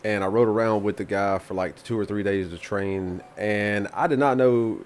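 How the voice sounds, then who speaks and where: A laid-back man with a lowish voice talks loosely through a live music review stream, still stuffy and congested from a bout of flu. He rambles and swears casually, and faint noise sits behind him. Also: normal speed. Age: adult with children.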